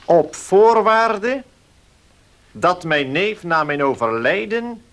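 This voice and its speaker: An actor playing a formal notary in a Dutch radio play, speaking precisely and with measured care. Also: male voice, sits high, animated, a bit bright, full character voice. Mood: solemn. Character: formal, measured male village notary reading a will.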